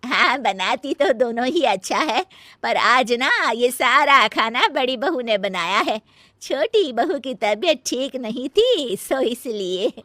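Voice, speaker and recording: A woman's voice: on the high side, animated, slow, bright, forceful tone, dry recording, studio quality, heavily acted. A woman voicing a commanding mother-in-law in a family comedy cartoon, handing out orders in a matter-of-fact way. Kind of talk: acted Hindi family comedy story.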